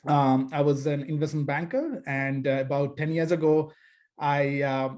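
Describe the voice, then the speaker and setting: A middle-aged man from Sri Lanka speaking on a panel over a video call, measured, with many fillers and restarts. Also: a little high, darkish tone. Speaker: nonprofit founder, panel speaker. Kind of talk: panel discussion talk.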